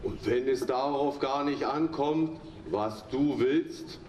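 A man acting in an amateur stage play, speaking in a slow, deliberate way. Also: mid-range voice, darkish tone, reverberant, recorded from a distance, slight background noise.

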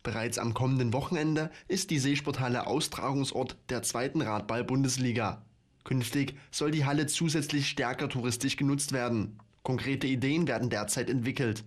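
Man, mid pitch, scripted news read, steady. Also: moderate pace. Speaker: news reporter.

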